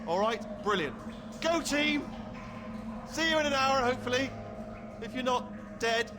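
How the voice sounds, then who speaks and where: A man's voice: very high voice, lively intonation, measured pace, noisy background. An actor voicing a nervous, bumbling male robot sphere who rambles and restarts his sentences constantly. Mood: chatty. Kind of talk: video game voice acting.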